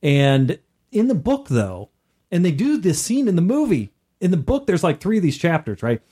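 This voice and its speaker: A man's voice: sits high, huge pitch swings, moderate pace, mellow, slightly rough. A man co-hosting a podcast, chatting casually and giving opinions in a blunt, emphatic, informal manner. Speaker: podcast co-host.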